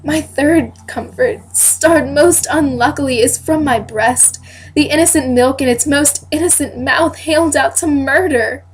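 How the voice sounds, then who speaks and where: A young woman playing a wronged, dignified queen who defends her honour in deliberate, declamatory Shakespearean verse. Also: high-pitched. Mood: wounded, defiant. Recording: noisy amateur home recording.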